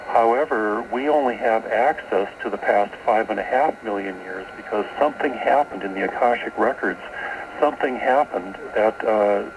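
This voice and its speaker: Through clear shortwave noise comes a man with a creaky, fry-laden voice at an average pitch. He speaks as an interview guest explaining esoteric beliefs, doubling back on words in a halting way. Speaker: guest author. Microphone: radio broadcast, taped off air. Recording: noisy shortwave radio broadcast on cassette.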